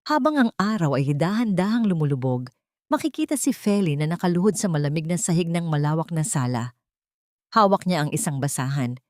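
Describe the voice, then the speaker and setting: A woman narrates a scripted romantic drama in a slightly low voice. Her delivery is solemn and melodramatic, with carefully placed phrases. The recording is dry, free of room sound. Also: a little mellow, no echo. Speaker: story narrator.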